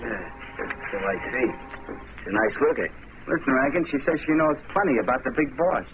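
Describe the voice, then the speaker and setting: A man's voice: mid-range voice, lively intonation, brisk, narrow, tinny audio, over music, lightly acted. An actor playing a tough, menacing gangster henchman in a 1940s radio crime drama, brusque and slangy. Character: tough gangster henchman barking orders at a kidnapped woman. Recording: old radio recording, chattering voices over it.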